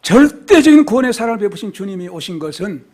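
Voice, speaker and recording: A male pastor preaching an expository sermon in a church, swinging from soft, hushed phrases to loud, fervent exclamations. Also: sits high, sing-song, fast, husky. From South Korea. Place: church sanctuary.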